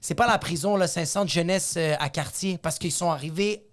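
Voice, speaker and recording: Un jeune homme à l'accent québécois, humoriste invité, qui raconte ses anecdotes avec animation dans une discussion décontractée. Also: male voice, on the high side, fairly quick. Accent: Quebec French. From Quebec. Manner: animated storyteller, slips into voices when quoting people. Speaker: guest comedian. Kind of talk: casual podcast chat.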